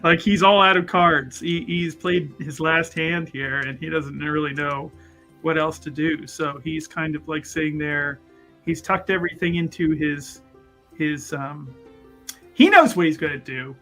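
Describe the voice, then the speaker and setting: Male RPG player, lightly high voice, unhurried, halting and repetitive casual narration, music underneath. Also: fairly even intonation, pushed and bright. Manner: hesitant, repeats words, chuckles often. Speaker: tabletop roleplaying player. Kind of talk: casual improvised narration of own character's actions. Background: background music.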